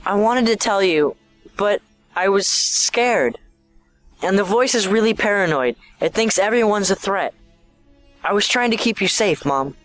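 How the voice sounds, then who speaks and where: A troubled schoolboy, voiced by a performer in an audio drama. He is scared and pleading, and his pitch jumps around over background music. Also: expressive, unhurried, fairly bright tone. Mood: scared, confessing.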